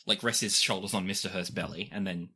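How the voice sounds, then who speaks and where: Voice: deep voice, fairly even intonation, quick pace, sharp tone, slightly rough. A game master improvising narration for a tabletop game in a playful, descriptive storytelling way.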